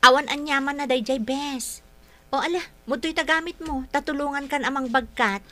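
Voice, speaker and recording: A woman's voice: high voice, fairly even intonation, normal speed, a bit bright, no room sound. A woman with an Ilocano accent acting a confident, breezy, persuasive young woman in a radio drama. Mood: chummy.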